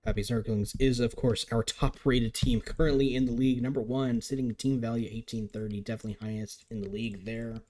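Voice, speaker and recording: A man giving chatty, upbeat live commentary on a game as league commentator, his voice getting a little raspy. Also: medium pitch, fairly even intonation, a little fast, few pauses, dark tone. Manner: chatty, upbeat play-by-play with frequent restarts.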